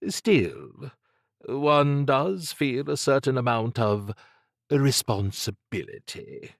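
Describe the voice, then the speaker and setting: A man voicing an urbane, condescending, androgynous occult agent for an audiobook, in a languid purr with drawn-out phrasing. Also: mid-range voice, expressive, gravelly, no echo, clean studio sound, pitched above the performer's own voice. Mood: haughty, musing. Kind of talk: audiobook character acting.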